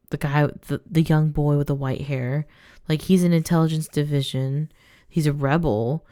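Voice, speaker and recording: A woman giving casual, rambling gameplay commentary, thinking her thoughts out loud as she goes. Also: low voice, no echo, clean studio sound. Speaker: gaming let's player.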